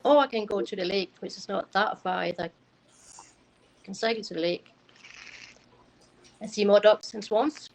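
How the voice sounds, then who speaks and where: A woman's voice: medium pitch, moderate pace, long pauses. A woman from Denmark, chatting casually as a livestream guest. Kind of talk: casual chat. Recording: noisy live stream.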